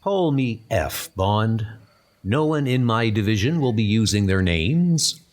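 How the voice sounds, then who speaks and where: A man playing a formal, secretive British secret service chief, courteous and deliberate, in a comic spy drama. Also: fairly low pitch, expressive. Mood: grave, proud.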